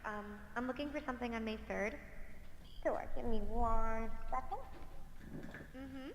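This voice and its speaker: A woman's voice: on the high side, measured pace. A woman making polite small exchanges in a phone conversation to book an appointment. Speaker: caller or salon receptionist in appointment call. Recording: echoey, noisy playback of phone call.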